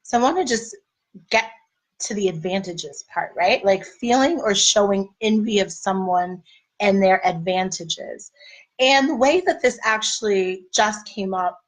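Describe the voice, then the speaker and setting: A woman in her thirties speaks to her viewers as a life and marriage coach. Her voice is a little raspy as she recovers. She talks in a relaxed, conversational, encouraging way. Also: medium pitch, measured pace, low-quality recording. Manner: conversational, encouraging, faith-based. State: slightly raspy voice. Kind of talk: casual motivational talk.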